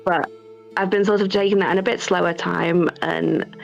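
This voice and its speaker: A woman's voice: on the low side, fairly even intonation, normal speed, creaky. A woman chatting casually as a guest developer, hesitant and rambling in a modest way. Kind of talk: casual interview chat. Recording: slightly dull online call, music behind.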